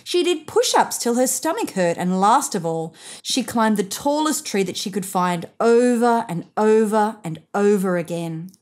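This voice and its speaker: Female storybook reader, thirties, mid-range voice, expressive read-aloud delivery for young children. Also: medium pitch, unhurried, few pauses. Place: indoors, seated on a sofa.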